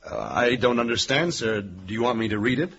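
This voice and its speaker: An actor playing a polite, composed young accountant in a radio drama, restrained and deferential. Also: male voice, mid-range voice, expressive, brisk, a bit bright, a little hiss or hum. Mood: polite, guarded. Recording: dull vintage radio recording.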